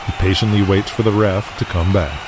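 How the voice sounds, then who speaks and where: A man's voice: low-pitched, steady tone, brisk, noisy background. A man narrating an audio drama in a dramatic, scripted, present-tense storytelling style.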